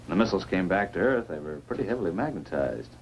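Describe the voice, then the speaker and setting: A man's voice: low voice, lively intonation, fast talker. An actor playing a practical, plain-spoken crewman in 1950s science fiction film style. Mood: puzzled. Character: practical, plain-spoken male crew member Steve. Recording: distant, noisy old film soundtrack.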